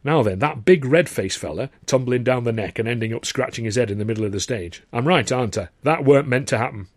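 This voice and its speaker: A man's voice: mid-range voice, fast, no echo, clean studio sound, natural voice. Guy doing a dapper little northern english bloke, blunt and sardonic, sizing you up, audiobook character. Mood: blunt, knowing. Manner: sarcastic, probing.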